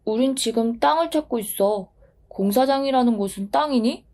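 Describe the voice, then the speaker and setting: A woman plays a newborn wind in a storybook, playful and full of itself. The voice is eager and breezy, lifted a little in pitch and mellow in tone. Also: normal speed.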